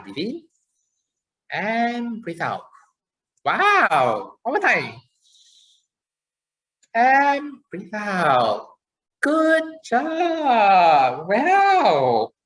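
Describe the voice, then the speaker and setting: A woman voicing a friendly, eager male mascot puppet in a children's puppet show, with enthusiastic exclamations and gentle prompting. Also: medium pitch, huge pitch swings, drawn-out words, pauses often, exaggerated voice. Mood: encouraging, cheerful.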